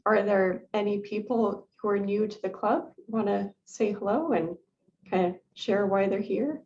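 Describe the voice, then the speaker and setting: A woman's voice: medium pitch, unhurried, a little mellow, a little hiss or hum. Welcoming woman on a dull lo-fi recording. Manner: friendly, welcoming. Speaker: club president. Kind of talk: meeting chairing. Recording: dull lo-fi sound.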